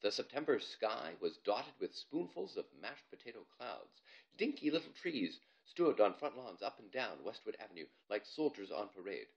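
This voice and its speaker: A man's voice: on the low side, sing-song, moderate pace, gravelly, muffled recording. A man, an author, reading his children's story aloud in a theatrical, comic storytelling manner. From Canada.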